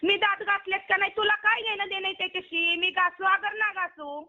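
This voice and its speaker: Very high-voiced scolding woman on the phone. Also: fairly even intonation, fairly quick, bright, forceful tone. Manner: scolding, confrontational, insistent questioning. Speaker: online video performer receiving a prank call. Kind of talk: heated phone argument. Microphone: phone call. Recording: muffled lo-fi phone call recording.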